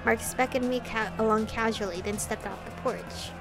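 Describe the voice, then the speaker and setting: A woman hosting a visual novel playthrough, reading game text aloud with expressive, casual reactions and casual swearing. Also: a little high, moderate pace, vocal fry, music in the background.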